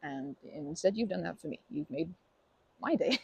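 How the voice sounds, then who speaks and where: Woman acting timid, breathy, flustered young woman. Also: sits low, expressive, normal speed, dry recording, heavily acted. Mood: touched, grateful. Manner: stammering, self-deprecating, rambling with nervous giggles. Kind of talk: ASMR comfort roleplay, conversational acting.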